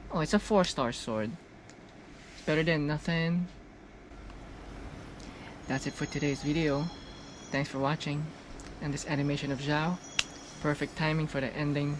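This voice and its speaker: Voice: medium pitch, a little slow, pauses often, background noise. A gaming streamer reacting casually to gameplay, repeating phrases and drawing out exclamations.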